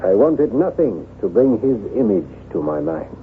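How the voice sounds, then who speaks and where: An actor playing a besotted, guilt-haunted lord of a chateau in an effusive, theatrical radio drama style. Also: male voice, fairly low pitch, sing-song, moderate pace. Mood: uneasy, secretive. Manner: theatrical, effusive, gushing over his bride. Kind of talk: 1970s radio drama acting. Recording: tinny, band-limited old radio recording with hiss.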